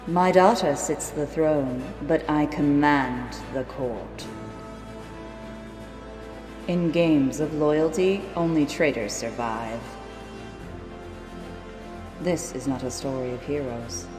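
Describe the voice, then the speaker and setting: A woman playing the ruthless, commanding mother of a queen, giving ominous, portentous narration for a fantasy audio drama. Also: low voice. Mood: ominous, imperious. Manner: portentous, deliberate. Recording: long hall reverb under loud music.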